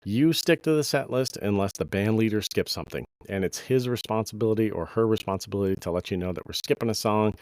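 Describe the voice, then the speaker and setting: A middle-aged man, a bass player with a slight cold, giving casual, rambling advice to musicians with plenty of fillers. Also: fast talker, few pauses, darkish tone, no echo. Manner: conversational, many fillers, anecdotal. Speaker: podcast host, bass player.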